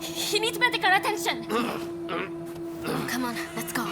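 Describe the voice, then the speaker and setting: A woman's voice: very high-pitched, big pitch swings, slow pace, loud music behind the voice, exaggerated voice. An actress playing a fierce, protective young woman companion in a video game, with an urgent delivery. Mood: alarmed. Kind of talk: video game cutscene acting.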